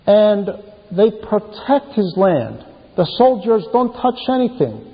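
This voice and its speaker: A man's muffled voice rings through a long hall reverb. He preaches at an unhurried pace, breaking his thoughts into short, emphatic phrases. The delivery is that of a homily told as a story. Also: high voice, sing-song. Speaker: preacher reading a translated homily. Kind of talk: sermon, retelling Bible stories.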